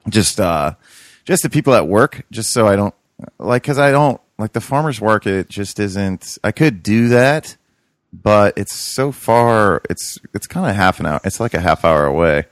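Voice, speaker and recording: Casual talker, young man, very low voice, loose rambly way of speaking. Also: lively intonation, unhurried, no echo, studio quality. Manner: rambling, many fillers and restarts. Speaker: podcast co-host, urban farmer. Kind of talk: casual chat.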